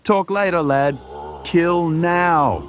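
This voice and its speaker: A man voicing a gruff, hot-headed dwarf fighter in a fantasy story, blustery and quick to curse. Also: on the high side, pitched above the performer's own voice. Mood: fierce urgency. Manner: blustery, roaring, old-fashioned British slang.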